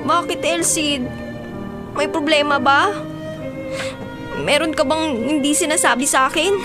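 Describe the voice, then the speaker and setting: Hurt young girlfriend in a radio drama, high voice, music playing under it. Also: measured pace. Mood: hurt, pleading. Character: lovelorn young woman, hurt, pleading, earnest. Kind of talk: Filipino radio drama acting.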